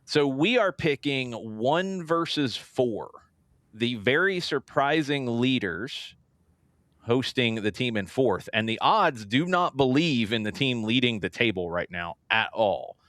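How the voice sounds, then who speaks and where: A man hosts a casual soccer talk show with an animated, swinging pitch. His middle-register voice is recorded dry and close, and he leads the conversation through match previews. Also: measured pace, dry recording.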